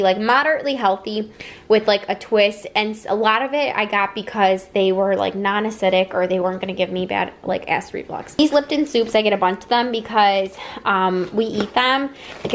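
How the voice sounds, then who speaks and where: A young woman in her twenties talks in a chatty, easygoing way, full of "like" fillers, right to her viewers. She records in her home kitchen on a handheld camera held close. A faint hiss sits under her voice, with the odd click. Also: mid-range voice. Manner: chatty, frequent "like" fillers, addresses viewers directly. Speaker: lifestyle vlogger. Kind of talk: casual haul vlog.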